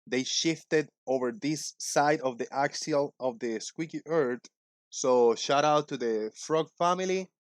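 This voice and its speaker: A man in his forties hosting a casual livestream, talking in a loud, joking, rambling way. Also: mid-range voice, unhurried, no echo. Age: 40s. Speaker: livestream host.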